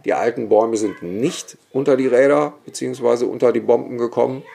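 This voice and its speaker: A man with a gravelly, dark voice. He talks as a guest and historian in a relaxed, storytelling way, vivid and opinionated, with many small asides. His tone stays mellow. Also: medium pitch, brisk. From Germany, Bergisch Gladbach area. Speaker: guest, historian and cemetery expert.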